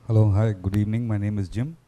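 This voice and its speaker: A man from India asking a panel a question from the audience, hesitant, with many pauses. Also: lowish voice, fairly even intonation, mellow.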